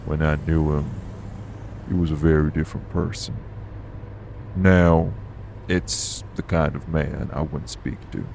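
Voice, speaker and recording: Man playing a very deep, gravelly shady immortal. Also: little pitch movement, measured pace, pauses often, a little mellow, slight background noise, full character voice. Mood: guarded, grim. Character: gruff, shady long-lived supernatural man with a past. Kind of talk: audio roleplay acting, urban fantasy drama. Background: vehicle on the road.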